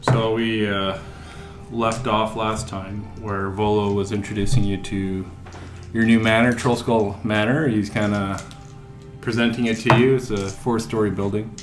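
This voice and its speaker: A man narrating as dungeon master, describing scenes in a relaxed way with many fillers. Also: on the low side, unhurried, roomy sound, over music. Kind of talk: narrating a tabletop game.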